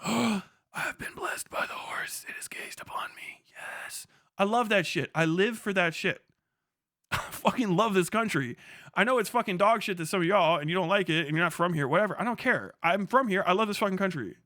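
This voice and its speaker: A man's voice: high-pitched, no echo, studio quality. A man streaming live, chatting casually, swearing constantly and breaking into laughter. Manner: profane, excitable, laughs a lot. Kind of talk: casual livestream chat.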